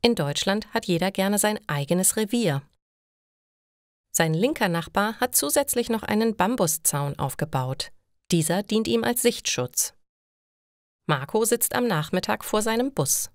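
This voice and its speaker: A woman reading scripted stories for language learners in a clear, deliberate narrating style. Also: medium pitch, lively intonation, no room sound, clean studio sound.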